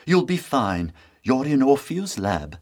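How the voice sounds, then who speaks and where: An actor playing an observant, commanding and concerned gentleman in an audio drama, speaking precisely with authority. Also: medium pitch, sing-song, slow pace. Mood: reassuring.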